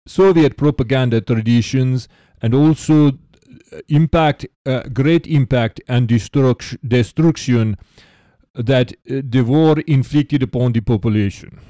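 A man voicing a formal Russian in a mock Russian accent, soberly and haltingly recounting wartime memory. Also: medium pitch, mellow, no room sound, lightly acted.

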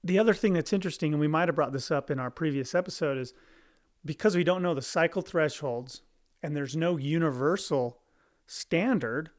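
Emphatic, higher-pitched man talking. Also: moderate pace. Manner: rambling, repeats and restarts phrases. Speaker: podcast co-host. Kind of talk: casual discussion.

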